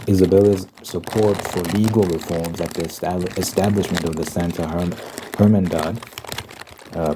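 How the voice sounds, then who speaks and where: A man hosting an ASMR ramble, giving opinions and reading aloud in deliberate phrases with pauses. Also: sits low, background noise. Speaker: host of an ASMR rambling channel.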